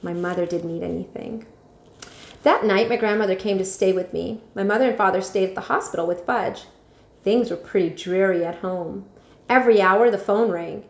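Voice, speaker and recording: A woman narrating a children's story aloud like a teacher, expressively and with clear phrasing. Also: mid-range voice, moderate pace. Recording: echoey room.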